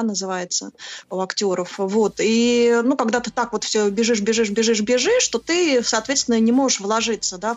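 A woman answering as an interview guest in a conversational, expressive way, explaining with examples and repeated words. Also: medium pitch, no echo. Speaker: interview guest, actress and film producer.

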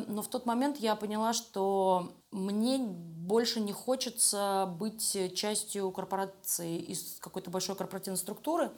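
Middle-aged woman, candid interview guest. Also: fairly high pitch. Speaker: interview guest, animation studio creative director. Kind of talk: conversational interview answers.

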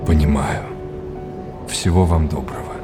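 An actor playing a kindly, courteous academic mentor in an audio drama, deliberate and encouraging. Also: male voice, extremely low pitch, steady tone, loud music behind the voice. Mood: warm, friendly.

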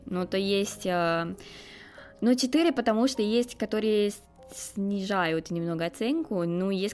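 A woman's voice: mid-range voice, a little mellow. A woman in her twenties with a Romanian accent answers interview questions conversationally, hesitantly searching for words as a non-native speaker. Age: 20s. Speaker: interview guest, foreign student.

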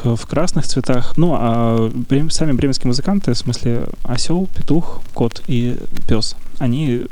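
A man co-hosting a podcast in relaxed, casual conversation. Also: mid-range voice. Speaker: podcast co-host. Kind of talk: casual chat.

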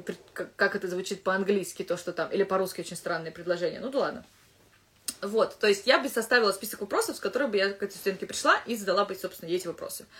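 A woman English teacher giving casual advice to fellow teachers, thinking aloud with lively asides. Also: mid-range voice.

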